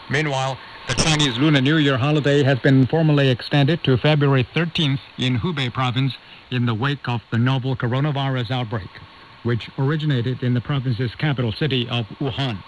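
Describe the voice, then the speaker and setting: Through lightly noisy shortwave reception, a male news anchor reads a scripted bulletin. His voice is mid-pitched, and his delivery is formal and measured.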